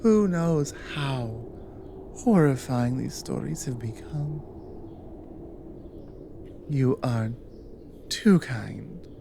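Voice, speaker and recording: A woman playing an exiled cougar guardian in an intimate ASMR audio drama, lonely and wary yet flirtatious, speaking haltingly in murmurs and whispers. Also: extremely low pitch, animated, background noise, full character voice. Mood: cautious hope. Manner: slow, halting, breathy murmurs dropping into whispers.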